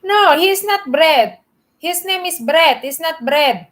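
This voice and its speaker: A woman's voice: very high voice, animated, slow, a bit bright. A woman from the Philippines, hosting a casual live stream, chatting and greeting viewers by name.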